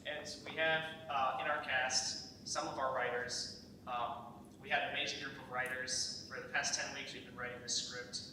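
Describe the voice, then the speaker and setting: A man's voice: little pitch movement, normal speed. A young man speaking casually and unscripted as he presents a project to an audience. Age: young adult. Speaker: executive producer presenting a student script. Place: large echoey indoor room, live event. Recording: very echoey hall, distant mic, rumbly noise.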